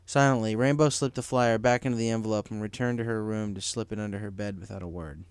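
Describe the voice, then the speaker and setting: A man's voice: fairly low pitch, steady tone, fast talker, clean tone, no room sound. A man narrating a scripted story as an audiobook reader, in a dramatic storytelling manner. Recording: music behind the voice.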